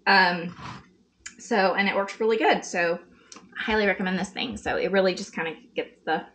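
A woman's voice: medium pitch, moderate pace, fairly bright tone. A woman, chatting casually to the camera while she cooks in her home kitchen. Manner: chatty, talks to kids and dogs between asides. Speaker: vlogger and mother. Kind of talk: casual chat while cooking. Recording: background music.

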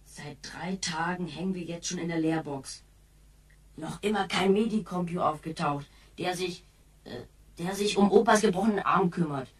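A woman's voice: lowish voice, moderate pace, close to an everyday voice. Brash youngster by a woman, off-mic. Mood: worried, bitter. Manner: slangy, gruff. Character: brash, sullen youngster worried about grandfather. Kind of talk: German radio play acting. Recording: sounds distant from the mic.

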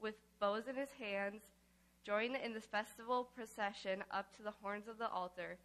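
A woman's voice: medium pitch, fairly even intonation, moderate pace, a bit bright. A woman reading a psalm aloud as a scripture reader at a church service. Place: large church sanctuary.

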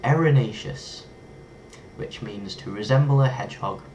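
A man's voice: mid-range voice, moderate pace, a little hiss or hum, rough recording. A young man with an English accent, talking playfully to his webcam in a small bedroom. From England. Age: young adult. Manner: playful, lively, toys with foreign words. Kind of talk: casual vlog talk to camera.

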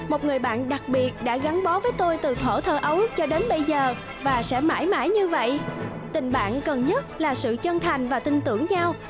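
A high-voiced sweet young bride. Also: female voice, normal speed. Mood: proud. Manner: youthful, expressive, earnest. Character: sweet, earnest young bride-to-be, devoted to elderly friend. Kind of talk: cartoon voice acting. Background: music.

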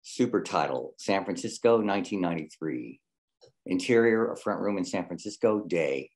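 Casting: male narrator with a very deep register for screenplay directions. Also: expressive, normal speed, a little mellow. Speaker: reader of stage directions.

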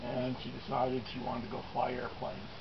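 A man's voice: mid-range voice, level tone, normal speed, vocal fry. An older man introducing speakers at a memorial with joking banter, recorded outdoors by a far camera. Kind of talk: informal introductions. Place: outdoors under a tent on a grass field. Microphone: far camera, no mic. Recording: dull lo-fi camera audio with outdoor noise.

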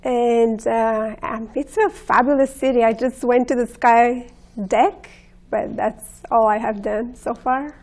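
A woman in her forties from Bulgaria with a Bulgarian accent, a guest in a studio interview, talking warmly and enthusiastically with hesitant restarts. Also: fairly high pitch, steady tone, unhurried. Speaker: guest actress. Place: TV studio with blue curtain.

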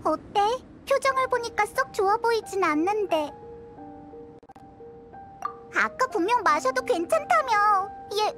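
A woman voicing a small floating fairy girl companion in an anime game, cute, blunt and expressive. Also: very high-pitched, a little slow, pauses often, over music. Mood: worried, questioning.

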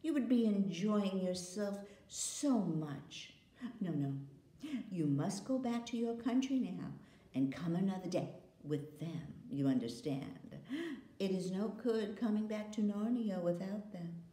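A woman's voice: mid-range voice, lively intonation, lightly acted. Woman reading a scheming storybook queen: coaxing, honeyed, roomy sound. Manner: coaxing, drawn-out, with soft laughs. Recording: roomy echo.

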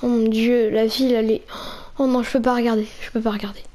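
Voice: medium pitch, level tone, fast talker, dark tone, close to an everyday voice. A young player acting a stressed, fretful survivor in a zombie game role-play, stammering and repeating. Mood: stressed, despairing.

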